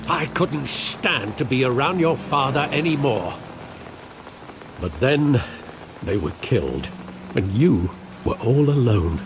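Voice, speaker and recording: A grave old butler. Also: male voice, medium pitch, background noise. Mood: tender. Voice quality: measured, formal and grave. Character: loyal elderly male butler, protective and sorrowful. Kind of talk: video game cutscene acting. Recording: game audio with rain ambience. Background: rain and ambience.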